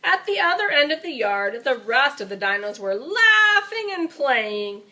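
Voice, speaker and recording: Animated, high-voiced woman reading a storybook. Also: a little slow. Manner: animated, child-directed, dramatic emphasis. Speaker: storybook reader. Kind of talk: expressive children's storybook read-aloud.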